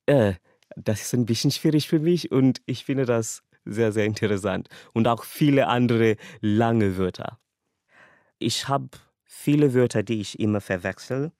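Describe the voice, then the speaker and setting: A man's voice: medium pitch, darkish tone, no echo, clean studio sound. A young man from Kenya, a language student whose first languages are English and Swahili, giving a casual, earnest personal account and laughing lightly between thoughts.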